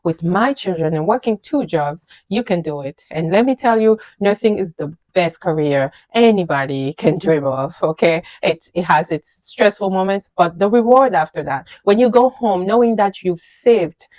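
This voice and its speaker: Lively French-native woman telling her story. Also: lowish voice, moderate pace, few pauses, dry recording, low-quality recording. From French-speaking country, immigrated to the USA. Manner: animated, emphatic, with frequent fillers and repeated words. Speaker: registered nurse, vlogger. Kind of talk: casual personal storytelling to camera.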